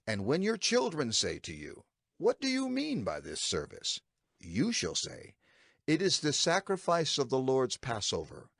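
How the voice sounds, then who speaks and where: A man's voice: medium pitch, gravelly, no echo, studio quality, full character voice. An actor playing an earnest male prophet and leader, instructing his elders emphatically in a dramatized scripture production. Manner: emphatic, declamatory, urgent.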